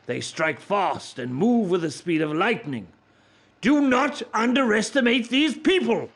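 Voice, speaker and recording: A man's voice: high-pitched, animated, measured pace, fairly bright tone, full character voice. An actor playing a stern, ruthless army officer barking orders at his soldiers in a radio play. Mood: stern warning.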